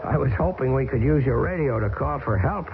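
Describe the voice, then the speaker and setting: A man's voice: mid-range voice, expressive, brisk, natural voice. An actor playing an exasperated American airman stranded in the arctic, impatient, stammering and sarcastic. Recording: tinny, hissy old radio broadcast.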